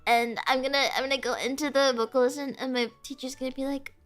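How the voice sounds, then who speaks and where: A woman's voice: high voice, flat delivery, fast, fairly bright tone, faint music behind. A young woman streaming and chatting casually with her viewers, bubbly and giggly, full of "like" fillers, with a stuffy, sniffly nose.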